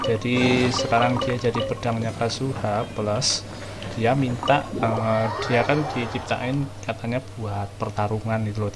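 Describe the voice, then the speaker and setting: A man with an Indonesian accent giving casual, slangy commentary as a gaming streamer. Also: medium pitch. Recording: game music behind voice. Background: video game music.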